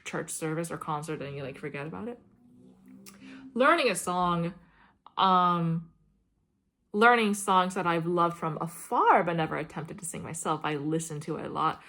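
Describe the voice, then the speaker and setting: A young woman chatting to camera in a loose, meandering way, peppering her talk with "um" and "like" and light laughs. Her voice sits a little low for a woman. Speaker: vlogger and classical singer. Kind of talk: rambling casual chat to camera.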